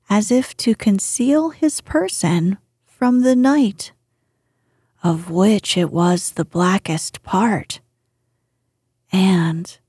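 A woman with a breathy, hushed voice reading bedtime fiction as a podcast host, gently and with measured phrasing. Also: mid-range voice, no echo, clean studio sound. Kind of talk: soft, soothing bedtime story reading.

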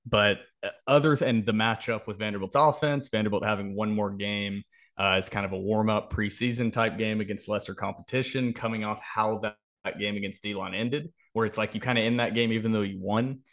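A man's voice: on the low side, fairly even intonation, fairly quick, fairly bright tone. A man co-hosting a sports podcast, talking through analysis and predictions in a casual, explanatory way.

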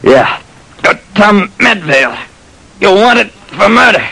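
A man's voice: high-pitched, sing-song, takes it slowly, gravelly, sharp tone, husky, no echo, full character voice. An actor playing a tough private detective narrating his case in clipped, terse 1940s radio drama style, on a noisy old recording. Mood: defiant. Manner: clipped, terse, hard-boiled.

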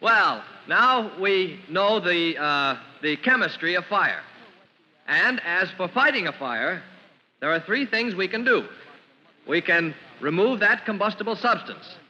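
A man's voice: sits high, expressive, a little slow, pushed and bright, heavily acted. A man playing a genial, take-charge young firefighting instructor in an old-time radio drama. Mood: genial, instructive. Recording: muffled, echoey hall, old radio recording.

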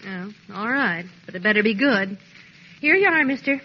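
A woman's voice: medium pitch, lively intonation, normal speed, lightly acted. An actress playing a long-suffering, nagging American family woman in a 1940s radio comedy. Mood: wry reproach. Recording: dull, hissy vintage radio recording.

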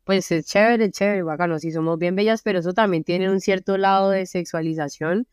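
Low-voiced Colombian woman, relaxed chat. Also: a little fast, clear, resonant tone, dry recording, studio quality. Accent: Colombian Spanish. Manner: relaxed, many fillers like "o sea" and "como". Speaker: singer-songwriter guest. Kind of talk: casual interview chat. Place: friends' apartment.

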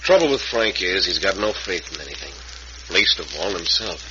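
An actor playing an earnest, friendly small-town frontier doctor, folksy and plain-spoken, in old-time radio drama style. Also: male voice, low-pitched, big pitch swings, moderate pace. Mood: earnest, concerned. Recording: noisy, muffled vintage radio recording.

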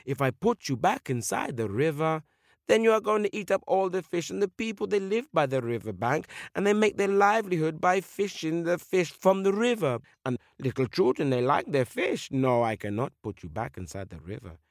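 A man's voice: fairly high pitch, sing-song, moderate pace, no room sound, clean studio sound, voice pushed up. A man voicing a proud royal eagle in a folktale, with a lofty, scolding manner. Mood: stern scolding. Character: regal male eagle, stern and proud.

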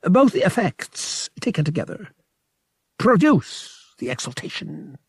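Smug giant alien priest for audiobook, played by a male narrator: raised pitch, slow, booming, swooping lines. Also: sing-song, gravelly, husky, no room sound, studio quality, full character voice. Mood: smug, amused. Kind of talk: audiobook character dialogue.

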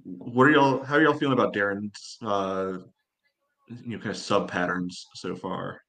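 An American man with a fairly low voice discusses sports as a podcast co-host. His delivery is informal and conversational. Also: normal speed, a bit bright.

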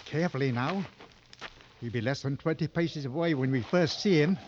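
An actor playing a seasoned, coolly sardonic hunting guide in a mid-century radio drama, giving clipped, authoritative instructions. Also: male voice, fairly high pitch, normal speed, natural voice. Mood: calm, instructing. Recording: dull old radio recording, noisy.

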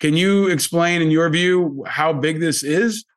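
A man's voice: fairly high pitch, unhurried. Enthusiastic casual male podcast host. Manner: informal, effusive, swears. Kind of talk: casual interview chat.

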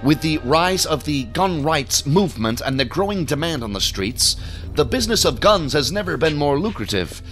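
An actor playing an officious, easily irritated male federal agent who briefs colleagues in a formal, measured, pompous way for an audio drama. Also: fairly high pitch, big pitch swings, fairly bright tone, faint music behind. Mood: authoritative.

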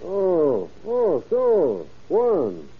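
A sly, menacing general from a vintage radio crime serial. He savors each word with a rough, husky voice. The sound is narrow and tinny, like an old broadcast. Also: high-pitched, wildly swooping pitch, drawn-out words, heavily acted. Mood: gloating. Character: gloating old-time Mexican bandit general, sly and menacing. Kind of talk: 1930s radio crime drama acting.

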